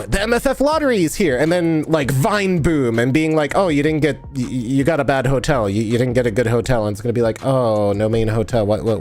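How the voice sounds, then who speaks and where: A man's voice: medium pitch, darkish tone. A man streaming live, still sniffly from a cold, chatting in a rambling way and answering viewers' comments as he goes. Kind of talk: casual live-stream chat while editing.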